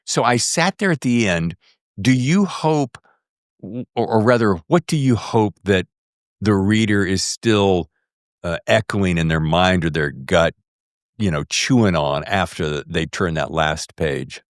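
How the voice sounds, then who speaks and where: A man's voice: low-pitched, measured pace, no room sound, studio quality. A man hosting a casual book interview, musing aloud and rephrasing his questions as he goes. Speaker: podcast host interviewer.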